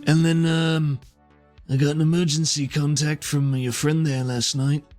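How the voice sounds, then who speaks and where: A man playing a large, easygoing man in a tabletop game, hesitant and gently teasing as he talks. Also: on the high side, level tone, unhurried, soft background music, voice pushed up, natural voice. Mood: sheepish, explaining. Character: Isaac, large square-built man, easygoing wry backup. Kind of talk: improvised tabletop roleplay.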